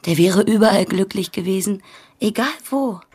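An actress playing a reflective sister with a husky voice, reminiscing plainly in a radio play. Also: female voice, mid-range voice, moderate pace, over music, lightly acted.

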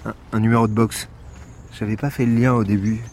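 A man's voice: on the low side, little pitch movement, fairly quick, a little mellow, slight background noise, close to an everyday voice. Dry, world-weary male detective played by an actor. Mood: dry, guarded. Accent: French. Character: gruff, sarcastic, world-weary male police investigator. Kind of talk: naturalistic audio drama acting.

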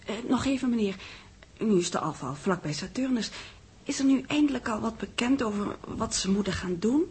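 A woman's voice: on the high side, expressive, fairly quick, a little mellow, slightly rough. A woman acting in a 1960s radio drama, playing an inquisitive, eager secretary who is chatty yet deferential. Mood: chatty, curious.

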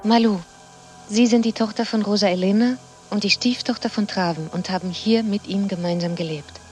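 Actress as female researcher, mid-pitched voice, composed, inquisitive, radio drama delivery. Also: brisk, a little mellow, faint music behind, close to an everyday voice. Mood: polite, probing. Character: determined female researcher narrating and interviewing witnesses. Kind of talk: radio drama, narration and dialogue.

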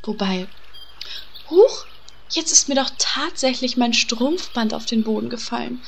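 A woman playing a bossy, vain, coquettish guild leader in a comic audio drama, brisk and commanding. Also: fairly high pitch, creaky, slight background noise. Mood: coy.

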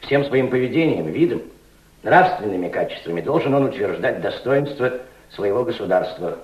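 A man playing fatherly Soviet diplomat, exacting, lively swooping intonation, roomy sound, radio drama. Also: fairly low pitch, moderate pace, natural voice. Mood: instructive, firm. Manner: didactic, emphatic, addresses a younger subordinate. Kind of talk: Soviet radio play acting. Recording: roomy, dull old radio recording.

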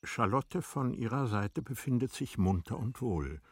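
A man reads literary narration for a radio drama in a measured, deliberate and formal manner. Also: mid-range voice, expressive, husky, no room sound. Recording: studio radio production with music.

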